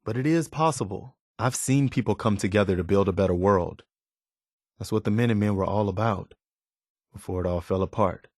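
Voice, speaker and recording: A man playing an earnest, weary, idealistic militia leader in a video game, speaking in a measured, sincere way. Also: fairly low pitch, darkish tone, no echo, studio quality. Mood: calm, concerned, then resolute. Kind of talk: video game character dialogue.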